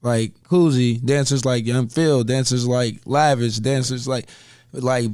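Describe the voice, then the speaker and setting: A man's voice: mid-range voice, fairly even intonation, unhurried, a little mellow, smooth, no room sound. Dry-sounding Bay Area man in his twenties. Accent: American, California Bay Area. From Richmond, California. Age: 20s. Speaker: podcast guest. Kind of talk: casual, slangy chat.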